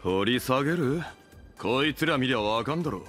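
A male actor playing an arrogant, gruff young idol in an audio drama, curt, rough and mocking. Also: mid-range voice, animated, normal speed, a bit bright, faint music behind, lightly acted. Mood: scornful.

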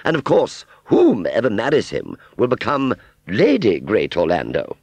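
A man's voice: fairly low pitch, huge pitch swings, measured pace, darkish tone, breathy edge, no echo, pitched above the performer's own voice, exaggerated voice. A man voicing a vain, haughty female fairground doll in a storybook, with an affectedly genteel but ungrammatical way of speaking. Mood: smug, condescending.

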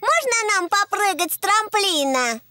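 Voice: very high-pitched, big pitch swings, unhurried, full character voice. A very young-sounding performer voicing an eager little girl piglet in a children's cartoon dub. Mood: cheeky. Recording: loud noise behind the voice.

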